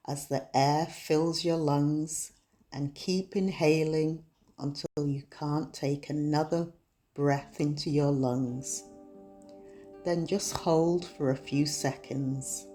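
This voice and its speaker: A woman guiding a meditation in a soothing, measured, unhurried manner. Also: low-pitched. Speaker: meditation guide. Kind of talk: guided meditation narration. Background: soft soothing music.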